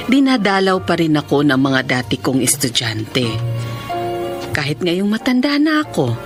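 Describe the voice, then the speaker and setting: Elderly female teacher, devoted and grateful, radio drama, music bed. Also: low voice. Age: older. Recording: music under the voice.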